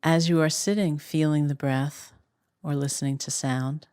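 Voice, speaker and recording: A woman meditation teacher giving guided instructions in a calm, unhurried way, leaving long pauses between phrases. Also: sits low, smooth, dry recording, clean studio sound.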